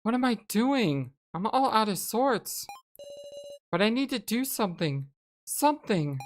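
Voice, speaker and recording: A woman's voice: a little high, very animated, measured pace, a little hiss or hum, pitched above the performer's own voice. A woman voicing a frightened teenage girl in a horror game, with urgent, pleading exclamations. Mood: flustered.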